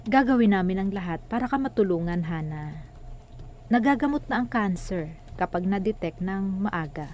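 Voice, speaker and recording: A woman's voice: mid-range voice, lively intonation, moderate pace, darkish tone, a little hiss or hum, lightly acted. An actress playing a serious, reassuring female doctor in a radio drama.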